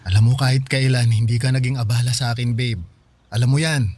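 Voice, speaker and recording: A man's voice: mid-range voice, fairly even intonation, brisk, a little mellow, slightly rough, no echo, lightly acted. A man acting a devoted, clingy young boyfriend in a Filipino radio drama, earnest and anxious. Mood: caring, gentle. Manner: pleading, earnest.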